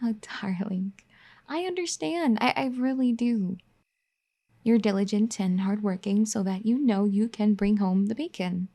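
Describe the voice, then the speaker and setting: A woman voicing a firm but caring young wife who scolds and then gently pleads in an audio roleplay. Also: medium pitch, unhurried. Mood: tender, pleading. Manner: bossy teasing scolding that turns gentle and pleading. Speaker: ASMR roleplay performer. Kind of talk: audio roleplay addressed to the listener.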